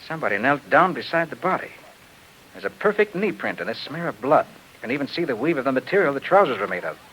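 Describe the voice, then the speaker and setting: An actor playing a shrewd, assured American private detective in an old-time radio drama, explaining things crisply. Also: male voice, medium pitch, very animated, fast talker, a bit bright, slight background noise, low-quality recording. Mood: brisk, intent. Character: shrewd, brisk, commanding male private detective. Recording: old radio show recording.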